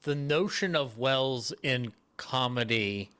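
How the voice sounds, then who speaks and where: A man's voice: mid-range voice, slow pace, a bit bright, clean tone. A man speaking casually as a panelist, hesitant and often interrupting himself. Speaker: panelist introducing a discussion.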